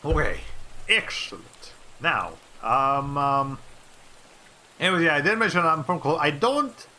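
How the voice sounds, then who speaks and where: Bright-voiced man rambling on a stream. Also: fairly high pitch, animated, a little slow, slight background noise. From Colombia. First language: Spanish. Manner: rambling, filler-heavy, restarts sentences. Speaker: VTuber streamer. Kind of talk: casual livestream chat.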